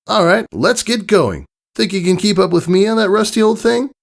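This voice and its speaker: A man voicing a brash, cocky, excitable young female pegasus pony in a storybook reading, with boastful, teasing exclamations. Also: sits high, moderate pace, gravelly, voice pushed up. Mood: playful challenge. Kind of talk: acted storybook character dialogue.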